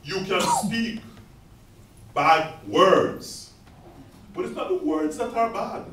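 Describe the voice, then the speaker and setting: A man's voice: very high voice, very animated, slow, background noise. A man speaking for a Bible society in a preaching style, putting rhetorical questions to the audience. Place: large echoey reception hall. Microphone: distant room mic. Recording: echoey hall from far off.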